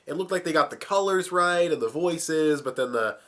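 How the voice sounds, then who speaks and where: A man's voice: a little high, expressive, moderate pace, fairly bright tone. A young man with a cold, sounding plugged up, casually reviewing a cartoon, swearing and chuckling as he talks. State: sick, plugged up. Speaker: video reviewer.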